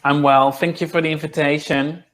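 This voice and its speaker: A man's voice: a little high, little pitch movement, moderate pace, a bit bright. A man in his thirties from the Netherlands with a Dutch accent, speaking hesitantly with many ums as an interview guest on a video call from a small room. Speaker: guest nutritionist.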